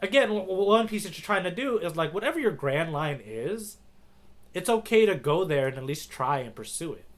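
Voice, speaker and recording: Slightly high-voiced American man, chatty. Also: very animated, fairly quick. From United States. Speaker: podcast co-host. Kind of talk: casual chat.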